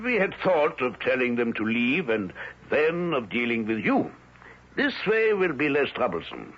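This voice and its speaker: Casting: unrepentant, menacing former Nazi elder, radio play, formal and deliberate, highly animated pitch on dull noisy tape. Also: male voice, slightly rough, exaggerated voice. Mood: cold menace. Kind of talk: 1970s radio drama acting.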